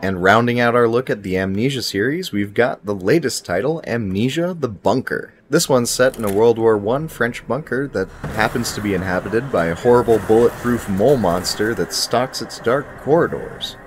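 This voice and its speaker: A man's voice: mid-range voice, lively intonation, moderate pace, few pauses, a little hiss or hum. A young man presenting a scripted video game review in an articulate, presentational way. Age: young adult. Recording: music behind the voice. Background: background music.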